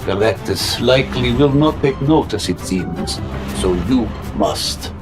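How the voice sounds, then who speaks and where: A man's voice: mid-range voice, expressive, unhurried, over music, natural voice. A man voicing an imperious, grandiose villain mastermind in an audio drama, with pompous, clipped, theatrical delivery. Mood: commanding.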